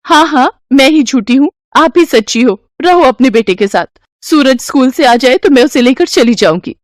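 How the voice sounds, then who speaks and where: A woman's voice: sits high, animated, normal speed, dry recording, clean studio sound, pitched above the performer's own voice. A woman voicing a spiteful, bossy daughter-in-law who complains sharply in an audio story. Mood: bitter. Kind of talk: Hindi audio story dialogue.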